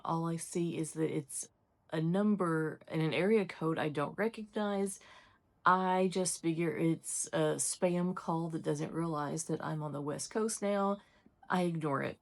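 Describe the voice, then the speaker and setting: A woman's voice: low-pitched, creaky, darkish tone. A woman talking casually to her vlog audience, telling personal stories in an everyday conversational way. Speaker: solo vlogger.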